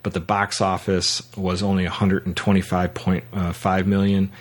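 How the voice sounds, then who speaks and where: A man hosting a podcast, chatting casually about films and books in a halting way, often correcting himself as he goes. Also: low-pitched, completely flat delivery. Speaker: podcast host. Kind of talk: casual film and book discussion.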